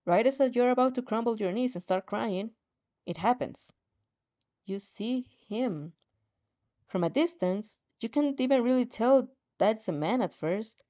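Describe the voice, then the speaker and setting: A woman reading a story aloud in an expressive, dramatic way, with playful casual asides and the odd stumble over a line. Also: a little high, a little slow. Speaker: fan fiction reader reacting as she reads. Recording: dull, slightly muffled lo-fi audio.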